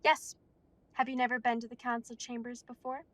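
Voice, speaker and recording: A woman's voice: a little high, level tone, brisk, a bit bright, no echo, close to an everyday voice. A woman playing a polite, businesslike female werewolf receptionist at a council chamber.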